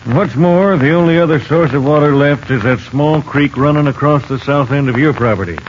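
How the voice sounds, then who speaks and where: A man's voice: on the high side, a little fast, close to an everyday voice. An American man playing a plain-spoken, worried sheriff in a 1950s Western radio drama. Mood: concerned. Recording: dull 1950s radio recording, faint noise.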